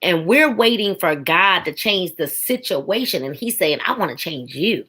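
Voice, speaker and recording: A woman's voice: lowish voice, animated, normal speed, pushed and bright, slightly rough. Emphatic woman in her forties, testifying. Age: 40s. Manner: emphatic, preaching rhythm with repeated phrases. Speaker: podcast guest. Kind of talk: testimony on a faith podcast.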